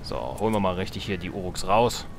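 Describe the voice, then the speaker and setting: A man's voice: low-pitched, animated, a little fast, vocal fry, background noise. A man giving casual let's play commentary, with joking asides and musing to himself. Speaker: let's player. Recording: game music behind the voice. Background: strategy game music and sounds.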